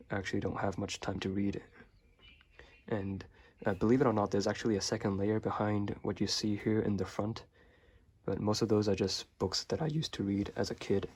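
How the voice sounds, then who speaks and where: Young male vlogger from Hong Kong, native Chinese speaker; deep, monotone, slightly husky; relaxed and hesitant. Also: moderate pace. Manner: relaxed and rambling, many fillers and hesitations. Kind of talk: casual bookshelf tour.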